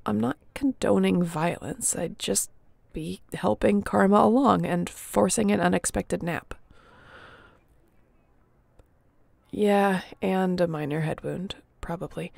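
A woman's voice: medium pitch, a little slow, vocal fry, few pauses, a little mellow, no room sound. A woman playing a resilient young apocalypse survivor in an audio roleplay, speaking intimately and earnestly with a wry edge. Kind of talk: dramatic audio roleplay addressed to the listener.

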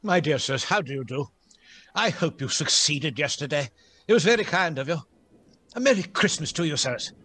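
Giddy reformed old miser, swooping voice. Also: male voice, a little high, lively intonation, moderate pace, bright, forceful tone. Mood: humble, earnest. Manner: exuberant, chuckling, exclamatory. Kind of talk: theatrical radio play acting.

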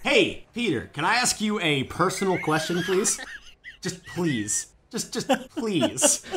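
A man playing an awkward middle-aged man in an improvised parody game dub, halting and over-polite as he pleads. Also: sits high, sing-song, noisy background, heavily acted. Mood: pleading, awkward. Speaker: voice actor in a comedy fan dub.

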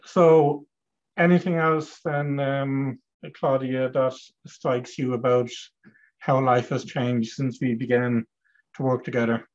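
A middle-aged man hosts a coaching webinar, putting calm, measured questions to his client. His voice stays level and flat in pitch, and the video call audio sounds dull and lo-fi.

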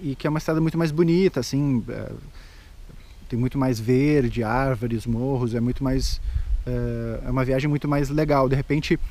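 A man's voice: medium pitch, steady tone, normal speed, mellow. A man in his forties with a Gaúcho Brazilian accent, explaining routes on a travel vlog with many fillers, filmed on a handheld camera. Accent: Gaúcho Brazilian Portuguese. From southern Brazil. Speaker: vlogger. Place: outdoors on a lawn. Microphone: handheld action camera at arm's length.

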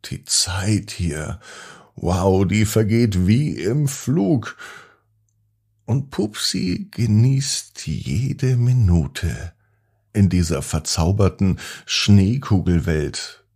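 Deep, gravelly man telling bedtime stories. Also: animated, very slow, darkish tone. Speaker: children's podcast storyteller. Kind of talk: expressive bedtime story narration.

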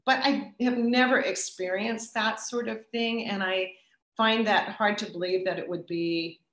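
A woman's voice: mid-range voice, steady tone, a bit bright. Thoughtful woman in an echoey room. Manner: thoughtful, with restarts and pauses. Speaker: guest speaker. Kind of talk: answering audience questions at a talk.

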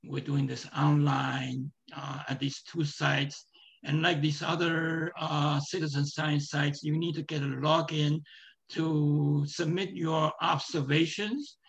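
A man with a hoarse, strained voice giving a live screen-share presentation, talking in a halting way with many fillers and restarts. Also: a little high, flat delivery, muffled recording.

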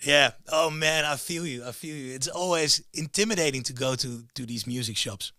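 Dutch-accented male podcast host in his 40s, raspy and bright, eager "yeah, oh man" style, dry close mic. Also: fairly high pitch, normal speed, no room sound. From Netherlands. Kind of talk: casual interview chat. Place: home studio with guitars. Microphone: studio mic with headphones. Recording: dry close studio sound, faint music.

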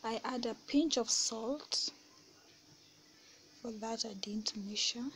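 A woman narrating her home baking video in a relaxed, soft-spoken way, often half-whispering. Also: on the high side, slow, slight background noise. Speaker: baking vlogger. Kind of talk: casual kitchen voice-over. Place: home kitchen.

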